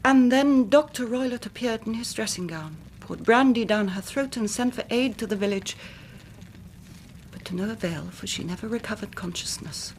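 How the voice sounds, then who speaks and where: An actress playing a grieving young English gentlewoman in a radio drama, speaking formally and precisely as a dramatic storyteller. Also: fairly high pitch, moderate pace, slight background noise, close to an everyday voice, poor audio. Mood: sombre, earnest. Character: grieving young gentlewoman recounting her twin sister's death.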